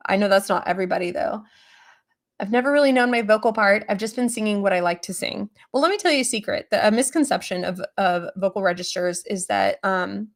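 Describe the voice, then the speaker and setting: A young adult woman chatting casually on a livestream, rambling with many fillers, her voice lower than usual today. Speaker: streamer answering chat.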